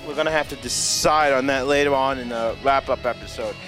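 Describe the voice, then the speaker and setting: A man's voice: mid-range voice, fairly even intonation, fairly quick, over music. A young man co-hosting convention coverage in a playful, joking chat. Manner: playful, riffs on jokes and running gags. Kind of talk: casual joking banter.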